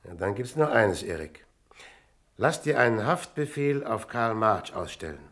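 An actor playing a genial, decisive investigator in a German radio crime drama. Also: male voice, lowish voice, very animated, unhurried, a bit bright, faint music behind, heavily acted.

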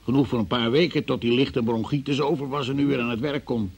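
An actor playing a stern magistrate in a radio drama, questioning a suspect in an insistent, patronising and sceptical manner. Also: male voice, mid-range voice, fairly even intonation, fast talker, a little mellow, husky. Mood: accusing.

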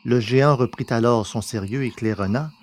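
A man's voice: medium pitch, moderate pace, a little mellow, slight background noise. Male narrator for scripted fiction, mid-range voice.

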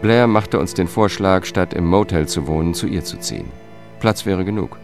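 A weary, disgraced lawyer in middle age, the confiding lead of a radio drama. His voice sits very low and his delivery is measured and understated. Music runs faintly underneath. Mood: measured, narrating. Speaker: first-person narrator and lead character. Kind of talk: German radio drama, narration and dialogue. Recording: studio radio play with music.

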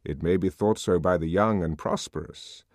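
A man's voice: low-pitched, moderate pace, no room sound, lightly acted. A man voicing an urbane, wry male controller in an audiobook, lecturing genially with dry, teasing authority. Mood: solemn reading.

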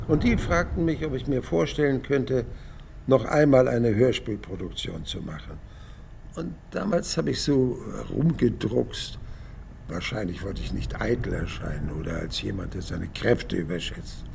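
A man's voice: medium pitch, gravelly, a little mellow, slight background noise. An actor playing a hundred-year-old male radio playwright who rambles through his memories, wistful and proud, in a reflective radio drama monologue. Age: 100. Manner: rambling, reflective, emphatic swings between murmur and outburst.

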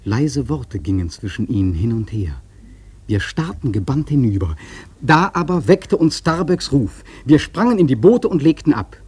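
Casting: seafaring storyteller for radio drama, soft mellow husky tone, intense and evocative delivery. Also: male voice, mid-range voice. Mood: tense, mysterious, then urgent. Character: awestruck young whaleman sailor recalling the hunt. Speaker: narrator. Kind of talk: dramatic literary radio-play narration.

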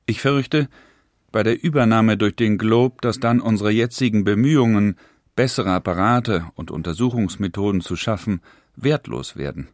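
A man's voice: lowish voice, moderate pace, no room sound, studio quality, natural voice. An actor reading the letters of a skeptical 19th-century industrialist to his brother, grave and reflective. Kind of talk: reading letters aloud in a radio documentary.

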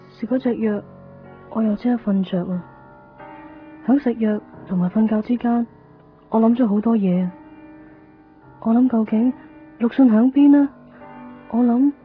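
Radio drama: frail wistful young female patient played by an actress, drowsy pleading, higher voice, thin band-limited sound. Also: close to an everyday voice. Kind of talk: radio drama acting with first-person monologue. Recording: tinny band-limited 1990s radio, faint music.

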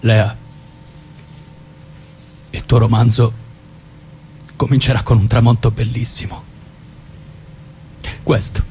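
An actor plays a dead criminal brother returned in a dreamlike radio play. He sounds resigned and remorseful, gently cynical in his plain colloquial phrasing, over faint rumbling background noise. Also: flat delivery, takes it slowly, pauses often, natural voice. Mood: gently reproving. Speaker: actor in a dramatic reading. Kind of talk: dramatic radio play dialogue.

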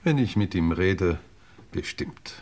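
A brusque older lord in a radio crime play, with a very low voice that swings widely in pitch. He takes his time, blustering and stammering when embarrassed. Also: lively intonation, slow pace, a little mellow, heavily acted. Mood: resigned, wry. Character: older English lord and uncle, brusque, flustered, drink-fond.

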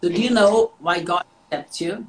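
A man's voice: high voice, moderate pace, poor audio. Emphatic man preaching. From Austria. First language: German. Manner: emphatic, repeats words for stress. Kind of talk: devotional sermon with interpreter.

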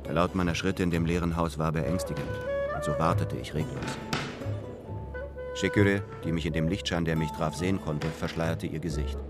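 A man's voice: rumbling low, normal speed, loud music behind the voice. An actor in a radio drama playing a lovesick, yearning man, intimate and earnest as he narrates and speaks. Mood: brooding, hushed. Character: lovesick, yearning man back after twelve years.

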